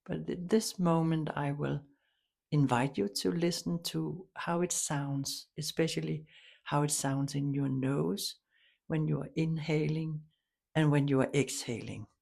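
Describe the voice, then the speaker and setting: Calm lady doing guided meditation, really slow w long pauses, bit of vocal fry. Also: low-pitched. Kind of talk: guided meditation, soft instruction.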